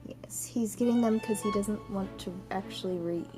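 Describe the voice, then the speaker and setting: A woman's voice: mid-range voice, moderate pace. A young adult woman co-hosting a vlog, chipping in with casual, unscripted remarks. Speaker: vlog co-host. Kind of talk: casual chat, short interjections. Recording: music playing behind the voice. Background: background music.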